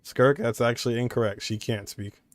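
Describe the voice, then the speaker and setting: A man's voice: medium pitch, moderate pace. A man streaming a video game, giving casual, laid-back live commentary in short muttered reactions.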